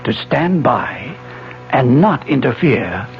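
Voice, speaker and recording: An actor playing an obsessed elderly physics professor in a 1970s radio drama, formal, insistent and fervent, with a declamatory delivery. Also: male voice, medium pitch, very animated, takes it slowly. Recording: dull 1970s radio broadcast, faint music.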